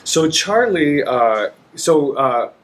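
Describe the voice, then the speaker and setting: A man's voice: on the high side, big pitch swings, slow, a bit bright. A young adult man from Texas, an opera singer chatting as a podcast guest with enthusiasm and lots of fillers. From Dallas, Texas. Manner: enthusiastic, rambling, frequent fillers. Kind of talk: casual interview chat.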